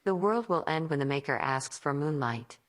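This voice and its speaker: A woman playing a calm, impassive female AI assistant in a radio play, speaking in a formal, deadpan manner. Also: low voice, moderate pace.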